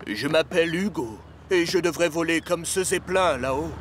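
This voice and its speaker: A man voicing an earnest, wistful railway zeppelin engine in a children's cartoon, speaking in a polite, puzzled, animated way. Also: a little high, moderate pace, a little hiss or hum, close to an everyday voice. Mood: wistful, insistent. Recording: music and vehicle sounds behind.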